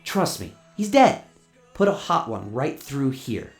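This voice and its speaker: A man's voice: mid-range voice, sing-song, a little slow, soft background music, pitched above the performer's own voice. A man voicing a brash, wisecracking, short-tempered robot gunslinger in a radio play, in a cocky, brusque way. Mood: dismissive. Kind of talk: radio play character acting.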